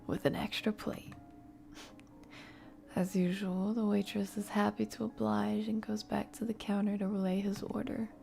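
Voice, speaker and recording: A woman reads a visual novel aloud for an ASMR stream. Her voice is hushed and mellow, with a creaky edge, and often slips into whispers. She takes her time over each phrase while music plays behind her. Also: mid-range voice. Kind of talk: soft ASMR game stream narration. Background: soft game music.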